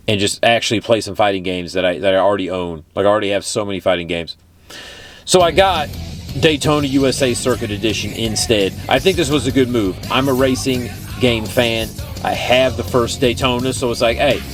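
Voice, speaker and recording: A man from Texas with a Texan accent, talking casually and enthusiastically in a rambling vlog style as a retro game collector. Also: medium pitch. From Texas, United States. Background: music.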